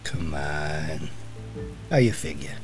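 An actor playing a cynical, wisecracking senior police officer in a noir audio drama, with a husky voice and teasing swagger. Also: male voice, very deep voice, animated, slow pace, soft background music, full character voice. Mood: sly.

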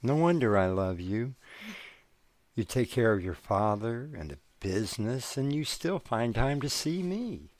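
A man's voice: mid-range voice, big pitch swings, measured pace, darkish tone, no room sound. An actor playing a curious young man in love, asking probing, impatient questions. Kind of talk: audio drama acting.

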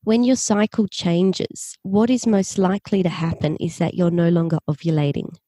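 An Australian woman speaking as a naturopath guest, her voice mellow and relaxed. She explains steadily and patiently, in a dry, close recording. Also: on the low side, moderate pace, no room sound. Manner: clear, patient explaining with occasional restarts. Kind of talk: explanatory interview talk.

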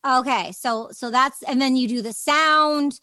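A woman's voice: sits high, unhurried, sharp tone, no room sound. A woman hosting an interview podcast in casual chat, talking in an enthusiastic, gushing, rambling way. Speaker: podcast host interviewing a guest.

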